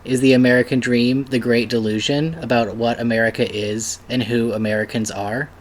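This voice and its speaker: A man's voice: mid-range voice, steady tone, normal speed, slight background noise. Man plainly reading history passages. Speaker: reader at a church table reading. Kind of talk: reading an essay aloud.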